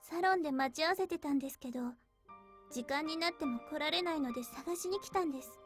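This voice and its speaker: A woman voicing a polite, bubbly young maid girl in a Japanese visual novel, softly inquisitive and cutesy. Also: high-pitched, racing, music in the background, lightly acted. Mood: mildly worried.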